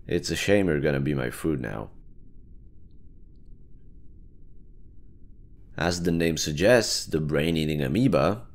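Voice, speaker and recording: Man voicing a smug, low-pitched parasite creature. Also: animated, moderate pace. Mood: smug, matter-of-fact. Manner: casual, teasing, conversational. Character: snarky, smug talking brain-eating amoeba parasite. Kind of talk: audio roleplay monologue to the listener.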